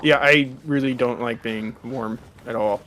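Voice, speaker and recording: A man's voice: medium pitch, steady tone, measured pace, fairly bright tone, a little hiss or hum, low-quality recording. A man in his thirties from California, chatting casually about a game in an easygoing way, full of fillers. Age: 30s. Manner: easygoing, filler-heavy, chuckles often. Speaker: co-host watching a game. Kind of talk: casual chat while gaming.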